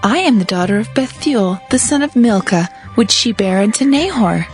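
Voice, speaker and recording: An actress playing a gracious, obliging young maiden in a dramatized audio Bible, courteous and gently formal. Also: female voice, fairly high pitch, lively intonation, moderate pace, faint music behind, lightly acted. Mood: gracious, helpful.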